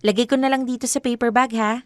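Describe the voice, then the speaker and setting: Woman acting as high-voiced loyal young girlfriend. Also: fairly even intonation, fast talker, dry recording, lightly acted. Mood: casual, friendly. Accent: Filipino. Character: young woman defending her boyfriend, firm and loyal. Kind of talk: Filipino radio drama acting, Taglish.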